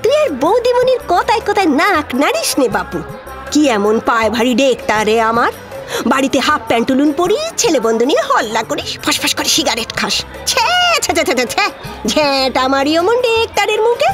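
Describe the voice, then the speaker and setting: A woman playing a proud, sharp-tongued older maidservant in a Bengali audio drama, scolding in a colloquial rural West Bengal accent. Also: high voice, very animated, moderate pace, music in the background. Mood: scornful, boastful.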